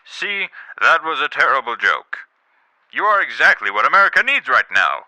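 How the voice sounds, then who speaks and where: A man playing a pompous, stern president with dry, deadpan insults in a comedy radio play. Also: on the high side, normal speed, dry recording, heavily acted, rough recording. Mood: dry, scornful. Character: pompous, stern president giving a secret mission, dryly insulting.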